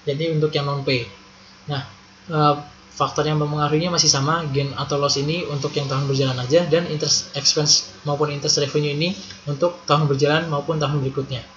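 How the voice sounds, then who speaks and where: A man's voice: on the high side, little pitch movement, quick pace, a bit bright. A young man explains things step by step in a casual, conversational tutorial style, posing questions and then answering them. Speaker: accounting tutor. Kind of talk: casual explanatory video lesson. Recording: muffled lo-fi with faint background noise.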